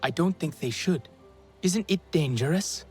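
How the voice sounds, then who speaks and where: A curious, eager young alien woman from a video game. Her voice is husky and lively in pitch, with an anxious edge. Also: a little slow, faint music behind, exaggerated voice. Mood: relieved, worried. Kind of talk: video game cutscene acting.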